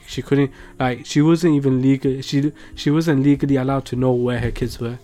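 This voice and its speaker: A man's voice: mid-range voice, fairly even intonation, normal speed, dark tone. A young British man chatting casually as a podcast co-host, with a slangy, emphatic way of talking.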